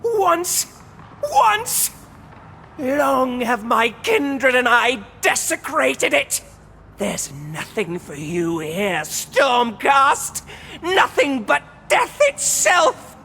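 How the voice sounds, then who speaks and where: An actress playing a haughty, furious undead spectre in a video game cutscene, venomous and declamatory. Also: female voice, sits high, big pitch swings, extremely slow, gravelly, fairly bright tone, slight background noise, full character voice. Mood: scornful.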